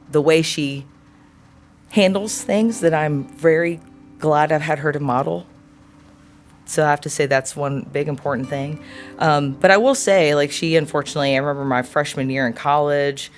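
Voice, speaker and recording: A woman in her forties answering an interview question in a thoughtful, earnest way, often pausing to search for words. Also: deep voice. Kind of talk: reflective personal interview answer.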